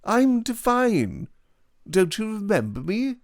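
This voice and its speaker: A man's voice: high voice, very animated, slow, no echo, clean studio sound, voice pushed up, exaggerated voice. A man reading an audiobook, voicing Devine, a slender, glib and mocking male schoolfellow with an arch, sardonic way of talking. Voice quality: flippant, arch, sardonic banter.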